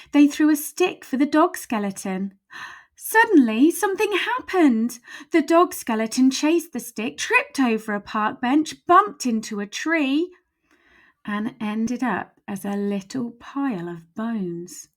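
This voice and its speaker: A woman's voice: on the high side, expressive, unhurried. A woman storyteller reading a children's picture book aloud expressively, with emphatic, suspenseful phrasing.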